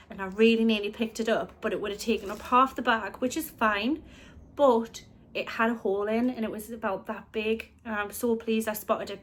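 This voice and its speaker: A British woman talks casually about her thrift finds, her voice sitting a little high for a woman. She is a bit snuffly from a chest infection and rambles, often stopping to correct herself. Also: a little hiss or hum. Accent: British English. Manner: chatty, rambling, self-correcting. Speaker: reselling vlogger. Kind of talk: casual vlog chat.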